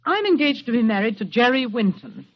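An actress playing an earnest, desperate young woman in 1940s radio style, with a husky voice. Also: a little high, sing-song, brisk. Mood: earnest, pressing. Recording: muffled old radio broadcast.

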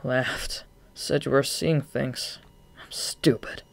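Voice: mid-range voice, steady tone, slow, creaky. A storybook narrator voicing a frightened, guilt-ridden young male bat with urgent, emotional outbursts. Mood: ashamed.

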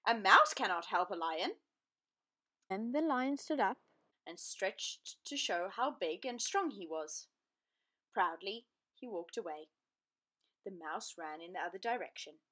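A woman narrating a children's storybook aloud in an expressive, deliberate, dramatic way. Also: medium pitch.

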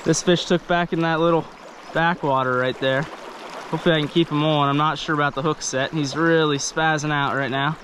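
A man fly fishing in a river, chatting casually to the camera and talking to the fish as he lands them. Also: on the high side. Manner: chatty, excited, talks to the fish. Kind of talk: casual narration while fishing. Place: outdoors wading a river. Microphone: head-mounted action camera. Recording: faint river noise outdoors. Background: rushing stream water.

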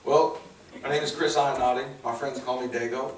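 A man's voice: mid-range voice, steady tone, moderate pace. A man in his forties from Chicago, with a Chicago accent, sharing his personal testimony to a church crowd in a plainspoken, earnest way. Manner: casual, plainspoken, earnest, folksy. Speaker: guest speaker sharing testimony. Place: large hall with stage, indoors. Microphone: handheld or lapel mic, filmed by a far camera. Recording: roomy hall, distant mic, noisy.

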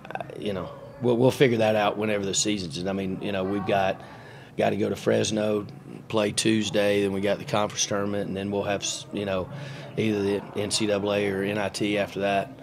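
A middle-aged man, a basketball coach, answering questions at a postgame press conference in a halting, matter-of-fact way, with many fillers and restarts, into a table microphone. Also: lowish voice, flat delivery, vocal fry, noisy background. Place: press room in front of a sponsor backdrop. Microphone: table mic on a stand.

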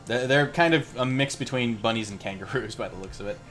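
A man's voice: medium pitch, brisk, a bit bright, faint music behind. A man hosting a game stream with casual gameplay commentary.

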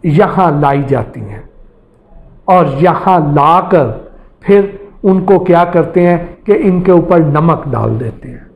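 Middle-aged guy at a studio desk, highish voice, slow and earnest, kinda dull lo-fi sound. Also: expressive, creaky. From Pakistan. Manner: earnest, explanatory, describing step by step. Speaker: investigative reporter. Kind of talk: studio presenter narrating over footage.

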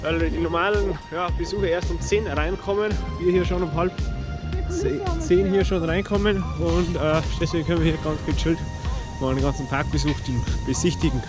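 A man's voice: a little high, fairly even intonation, normal speed, music in the background. Chatty man vlogging from the road. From Bavaria, Germany. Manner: chatty, enthusiastic, addresses viewers directly. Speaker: travel vlogger. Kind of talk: casual road-trip vlog. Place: car and outdoors on the road.

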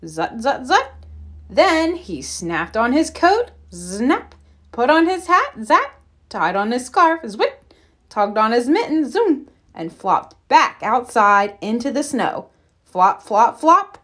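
A woman reading a children's picture book aloud expressively, deliberate and playful with the sound words. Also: on the high side, sing-song, fairly bright tone. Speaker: read-aloud storyteller.

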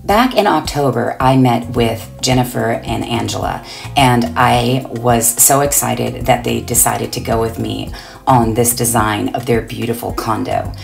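A woman's voice: a bass voice, measured pace, gravelly, faint music behind. A woman interior designer narrating a reveal video of a finished home in an upbeat, enthusiastic voice-over.

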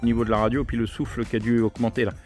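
A man works radio contacts at a brisk, rattling pace. He talks casually in operator jargon and repeats call codes and signal reports, with light music underneath. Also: medium pitch, level tone, darkish tone. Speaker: amateur radio operator running contacts from a hilltop.

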